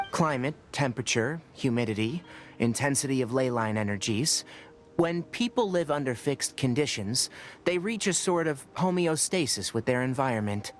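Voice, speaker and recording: An actor voicing a young forest ranger scholar who explains things in a measured, matter-of-fact way. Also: male voice, medium pitch, slight background noise, close to an everyday voice.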